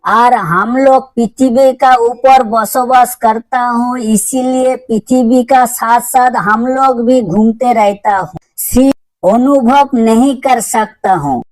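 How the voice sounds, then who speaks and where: A woman voicing a dim but earnest schoolboy in a comedy cartoon, answering in a deliberate, reciting manner. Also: fairly high pitch, a little mellow, rough recording. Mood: matter-of-fact.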